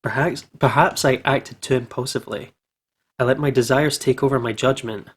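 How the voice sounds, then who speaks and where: A man's voice: mid-range voice, steady tone, normal speed, husky. Informal man hosting a visual novel playthrough. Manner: informal, jokey, stumbles when reading. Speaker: video game let's play host. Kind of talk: casual commentary and reading aloud of visual novel.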